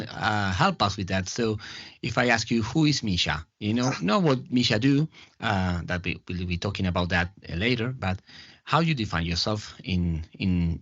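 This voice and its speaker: A man hosting a podcast interview, speaking English haltingly and searching for words. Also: on the low side, vocal fry, no echo, dull audio. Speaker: podcast host, artistic director. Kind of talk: casual interview conversation.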